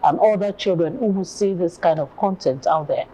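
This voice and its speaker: A woman's voice: lowish voice, moderate pace, warm tone, poor audio. A woman hosting a TV panel discussion, introducing topics and putting questions to her guests. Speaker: talk show host.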